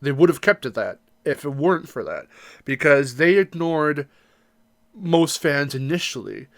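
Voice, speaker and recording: A man's voice: fairly high pitch, animated, a little slow, no echo, studio quality. A man co-hosting a podcast, talking casually in an emphatic, opinionated way. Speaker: podcast co-host. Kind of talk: casual discussion podcast chat.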